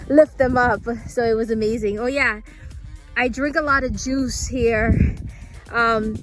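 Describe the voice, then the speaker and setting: A woman's voice: fairly high pitch, rough recording. A woman chatting casually through a travel vlog, rambling with frequent fillers. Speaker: travel vlogger.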